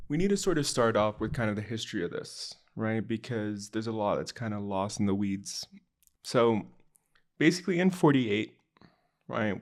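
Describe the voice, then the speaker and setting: A young man with a lowish voice gives a loose, unscripted explainer on political history. He keeps things casual and leans on fillers like "kinda" and "right" as he goes. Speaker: podcast host.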